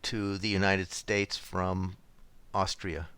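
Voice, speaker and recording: A man hosting an interview show, reading a calm scripted intro and asking measured questions. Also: sits low, clean tone, no echo. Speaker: host.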